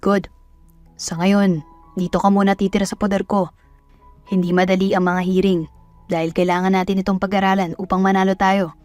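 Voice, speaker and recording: A woman's voice: medium pitch, flat delivery, a little fast, pauses often, darkish tone, dry recording, faint music behind, close to an everyday voice. A woman voicing a stern young male lawyer, formal and authoritative, in a romance audio drama.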